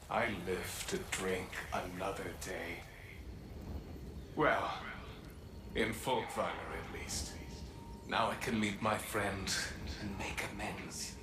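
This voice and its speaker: An actor playing a hearty male drinker's spirit in a video game, a slow, theatrical, awestruck storyteller. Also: deep voice, fairly even intonation. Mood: hearty, relieved. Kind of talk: video game drama acting. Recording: echoing voice with background noise.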